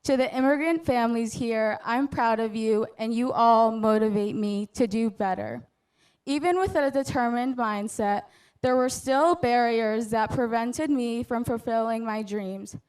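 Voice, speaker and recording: A young woman graduating from high school, delivering an earnest, prepared commencement speech at a measured pace. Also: darkish tone, clean tone, rough recording. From United States. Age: young adult. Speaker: graduating student speaker.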